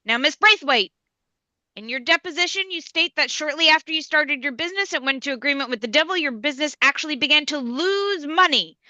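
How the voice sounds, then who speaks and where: A woman's voice: high voice, expressive, brisk, pushed and bright, dry recording, exaggerated voice. A woman playing a stern, no-nonsense courtroom judge, authoritative and formal, in a live comedy table read. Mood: brisk formal.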